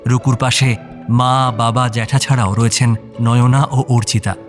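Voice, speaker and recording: A man's voice: medium pitch, little pitch movement, normal speed, soft background music. A man narrating a scripted audio story.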